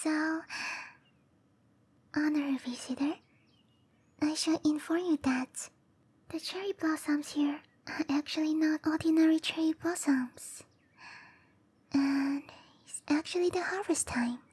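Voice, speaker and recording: A woman voicing a shy, gentle, scatterbrained cherry blossom dryad girl in a hesitant, apologetic whisper for roleplay ASMR. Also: sits high, a little slow, pauses often. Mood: gentle, explaining. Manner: hesitant, apologetic, breathy whispering with soft giggles.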